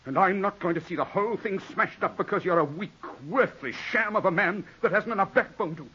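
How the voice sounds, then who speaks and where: An actor playing a middle-aged, domineering English lawyer scolding and lecturing in a 1940s radio drama. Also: male voice, high voice, fairly quick, a little hiss or hum. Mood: angry, outraged. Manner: forceful, scornful, lecturing. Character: domineering English lawyer, ambitious, exasperated older brother. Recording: dull 1940s radio broadcast recording.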